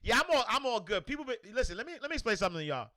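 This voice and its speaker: A man with a New York accent hosting a livestream, loudly and energetically answering viewer comments in casual chat. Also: very high voice, rapid-fire. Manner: loud and energetic, reads comments aloud. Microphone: desk microphone.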